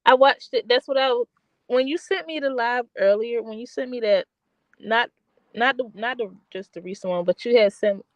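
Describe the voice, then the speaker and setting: A woman's voice: on the high side, moderate pace, no echo, highs cut off. A tired, slightly stuffy woman, rambling casually in a late-night chat. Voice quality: soft voice. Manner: soft-spoken, rambling with restarts.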